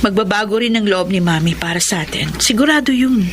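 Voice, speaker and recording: An actress playing a grown Filipina daughter in a radio drama, apologetic yet unyielding, with a Filipino accent. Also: female voice, medium pitch, expressive, a little fast, close to an everyday voice. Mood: confiding, assured. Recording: faint background noise.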